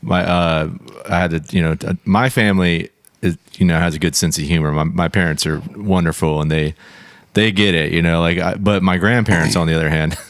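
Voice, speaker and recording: Deep-voiced man rambling through a story. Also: fairly even intonation, a little fast. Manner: rambling, many fillers and restarts. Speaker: podcast guest, musician. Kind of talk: casual chat.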